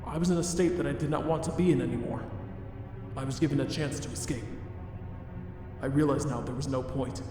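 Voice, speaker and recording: A man voicing a weary, self-loathing skeleton scientist in a dramatic comic dub, speaking in formal, resigned, deliberate phrases. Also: medium pitch, natural voice. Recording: echoey hall reverb under music.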